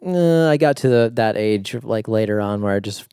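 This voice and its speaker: A young American man hosts a podcast interview in an easygoing, conversational way. His voice is low and mellow with a smooth, clear tone, recorded close and dry. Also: expressive, normal speed, no echo. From United States. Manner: easygoing, many fillers and agreements. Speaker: podcast host. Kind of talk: casual interview.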